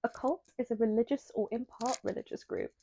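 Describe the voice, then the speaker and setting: A woman's voice: fairly high pitch, fairly quick, gravelly, dark tone, slight background noise. A young woman with a slightly nasal voice, reading a scripted video essay and drifting into apologetic, chatty asides. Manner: self-deprecating, breaks into apologetic tangents.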